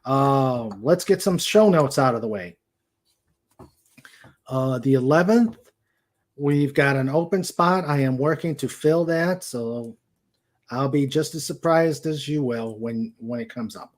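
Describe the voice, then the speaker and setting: Man, mid-pitched, slow and halting, casual live show host, feeling for the next word. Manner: halting, searching for words. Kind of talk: casual livestream chat.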